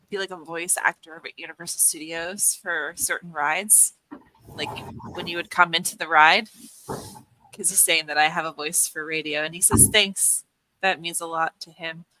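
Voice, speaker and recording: Bright, giggly woman on a podcast. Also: on the low side, unhurried, low-quality recording. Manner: giggly, animated, relays chat messages. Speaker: host's daughter, co-host. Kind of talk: casual live podcast chat.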